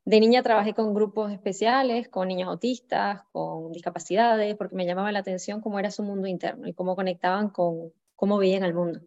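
Reflective Venezuelan woman, mellow voice. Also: medium pitch, steady tone, fairly quick, hardly any pauses, lo-fi sound. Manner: reflective, many fillers and restarts. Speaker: guest. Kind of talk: personal storytelling interview.

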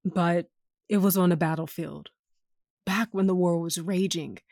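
A woman's voice: lowish voice, steady tone, measured pace, darkish tone, no echo. A woman playing a wry, blunt aunt and battle-hardened veteran in an audio drama, laid-back and dry-humored. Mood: reflective.

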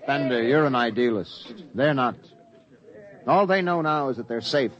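An actor playing a patient, pragmatic spaceship captain with firm, reasoning authority in a 1950s radio drama. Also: male voice, medium pitch, expressive, normal speed, a bit bright, lightly acted. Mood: calm, firm. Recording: dull, faintly noisy 1950s radio.

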